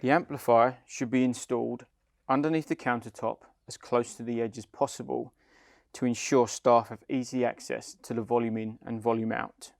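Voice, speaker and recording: A man's voice: mid-range voice, steady tone, moderate pace, vocal fry, no room sound. A man giving a scripted instructional product narration, explaining plainly step by step. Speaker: company head of client development, instructor.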